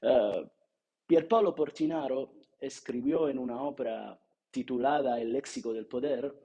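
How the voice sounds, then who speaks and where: A man's voice: mid-range voice, a little slow, warm tone, husky, muffled recording. A man with an Italian accent whose first language is Italian, giving an academic lecture at a seminar in a hesitant way, with frequent filler sounds and restarts. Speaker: seminar speaker.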